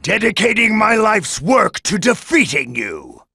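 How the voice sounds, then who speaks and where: Villainous old android scientist, anime game. Raspy, slow and haughty, wildly swinging pitch, coldly vengeful. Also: male voice, big pitch swings, bright, forceful tone, no room sound, studio quality, exaggerated voice. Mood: vengeful, gloating. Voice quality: gravelly, raspy. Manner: haughty, deliberate. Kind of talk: English anime game voice acting.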